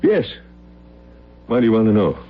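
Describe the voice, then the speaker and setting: A man's voice: lowish voice, big pitch swings, normal speed, slight background noise. A man acting a shaken, suspicious friend of a dead man in an old-time radio drama. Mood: distressed. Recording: dull old radio recording.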